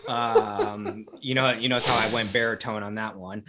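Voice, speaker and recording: A man with a New England accent co-hosting a casual podcast chat, speaking in a halting way and often repeating words. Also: fairly low pitch, fairly even intonation, heavy background noise. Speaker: podcast co-host.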